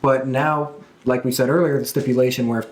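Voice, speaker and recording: A man hosting a casual wrestling podcast discussion, speaking haltingly and repeating his phrases. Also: medium pitch, fairly even intonation. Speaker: podcast host. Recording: roomy, echoing room sound.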